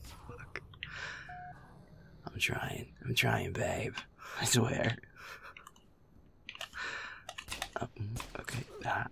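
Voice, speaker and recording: A man playing a sweet, playful young werewolf boyfriend in an intimate audio roleplay, casual and affectionate, with a husky, breathy, gravelly voice often near a whisper. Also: fairly low pitch, slow pace, heavily acted. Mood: focused, frustrated. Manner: casual, swearing, chuckling, affectionate murmuring.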